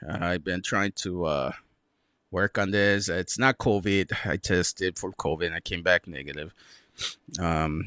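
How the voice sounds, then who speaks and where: Guy cohosting a podcast, kinda raspy and stuffy, low voice, dry mic. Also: no room sound. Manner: relaxed, laid-back, many fillers. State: sore throat, slightly raspy. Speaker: podcast co-host. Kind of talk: casual chat.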